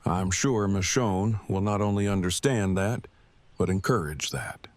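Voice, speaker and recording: A man playing an earnest, bookish, devoted husband in an audio drama, speaking in formal, wordy, measured phrases. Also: deep voice, no room sound. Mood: tender. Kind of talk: fan audio drama acting.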